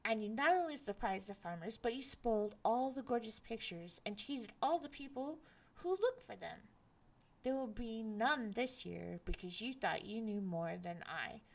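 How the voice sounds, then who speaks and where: A woman's voice: a little high, expressive, normal speed, vocal fry, low-quality recording. A woman voicing a stern old mother figure, the North Wind, who scolds her son firmly. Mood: scolding.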